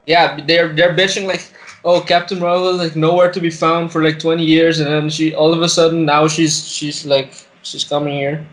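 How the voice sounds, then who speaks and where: A man co-hosting a casual film chat, excitable and slangy, with plenty of swearing. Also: fairly high pitch, normal speed, poor audio. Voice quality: slangy, profane. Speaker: podcast co-host.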